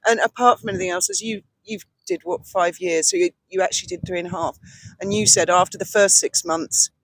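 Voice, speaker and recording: A British woman with a loud, carrying voice, chatting bluntly and casually as a vlog co-host. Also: lowish voice, fairly quick, no room sound, rough recording. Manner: blunt, outspoken. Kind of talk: casual, opinionated chat. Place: outdoors on a boat deck at a marina, breezy evening. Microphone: cheap handheld microphone passed between speakers.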